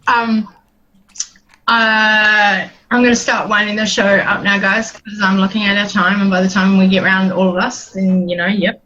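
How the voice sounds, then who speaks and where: A New Zealand woman hosts a laid-back livestream, rambling along with frequent ums and friendly asides. Her voice sits at an ordinary middle pitch, and the stream audio sounds dull and lo-fi. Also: fairly even intonation, takes it slowly, fairly bright tone. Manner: chatty, rambling with many ums. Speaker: host. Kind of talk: casual livestream chat.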